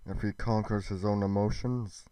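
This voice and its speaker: A man playing a cold, scheming goddess who speaks in a hushed, measured and formal way. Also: on the low side, steady tone, no echo, lightly acted. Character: cold, scheming goddess, proud wife and mother.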